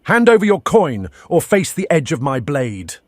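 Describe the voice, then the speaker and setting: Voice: mid-range voice, big pitch swings, normal speed, close to an everyday voice. A voice playing a menacing bandit in a video game voice line, as performed by a woman or a synthetic female voice. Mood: threatening. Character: menacing bandit demanding coin at blade-point.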